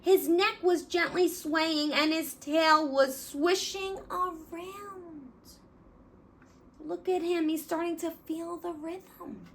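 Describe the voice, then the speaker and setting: A woman hosting storytime, reading expressively and deliberately for young children. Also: very high-pitched, fairly even intonation, a little hiss or hum.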